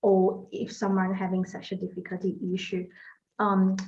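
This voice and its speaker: A woman's voice: mid-range voice, monotone, moderate pace. A woman from Malaysia hosts a podcast interview, asking questions in a hesitant, searching way. Her recording sounds a little dull and muffled. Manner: warm affirming backchannels, hesitant questions. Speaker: podcast host, fertility dietitian.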